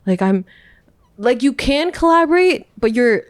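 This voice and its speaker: A woman's voice: high voice, lively intonation, measured pace, dry recording. Woman, NYC-raised, casual podcast guest; meandering, giggly, trailing delivery; dry close mic. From New York City. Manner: rambling, laughs often, trails off softly. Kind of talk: casual chat.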